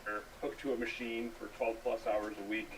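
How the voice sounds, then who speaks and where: A man's voice: fairly low pitch, flat delivery, normal speed. A middle-aged or older man giving a personal testimonial for a video appeal. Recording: distant mic, faint noise, played-back video.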